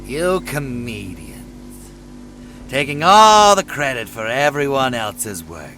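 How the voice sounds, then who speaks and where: A man's voice: medium pitch, very animated, slow pace, sharp tone, faint music behind, exaggerated voice. An actor playing a sour, sardonic male villain with a dry, grumbling delivery in a comic dub. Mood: sardonic, resentful. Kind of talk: dramatic comic dub acting.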